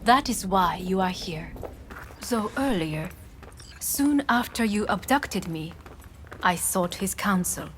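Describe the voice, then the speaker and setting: A woman playing a composed, imperious corporate heiress in a video game, speaking formally and with measured command. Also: medium pitch, expressive, fairly bright tone, slight background noise. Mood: cool, guarded. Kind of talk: dramatic video game voice acting.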